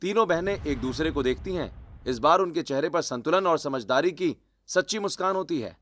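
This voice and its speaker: A man's voice: a little high, animated, normal speed, fairly bright tone, no echo. A man reading scripted, dramatic story narration.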